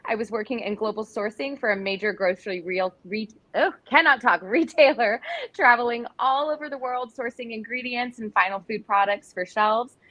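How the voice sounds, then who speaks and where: A woman's voice: a little high, moderate pace, a bit bright, no room sound. A woman, a cooking instructor chatting enthusiastically on a live video call. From Texas, USA. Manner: bubbly and enthusiastic. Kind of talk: casual live video chat.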